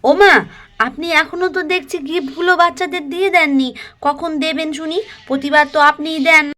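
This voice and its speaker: Lady doing a chatty young housewife in a doll cartoon, high sing-song voice, coaxing and exclaiming. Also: steady tone, brisk, pushed and bright.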